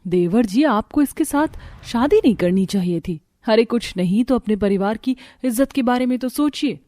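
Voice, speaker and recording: A woman's voice: fairly high pitch, expressive, normal speed, warm tone, dry recording, clean studio sound, lightly acted. Woman as a haughty sister-in-law.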